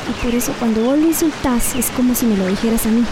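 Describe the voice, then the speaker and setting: A woman's voice: fairly high pitch, fast talker, lightly acted. An actress playing a young woman stranded in a flood in a Costa Rican radio drama, earnest and pleading. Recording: rain and water noise behind.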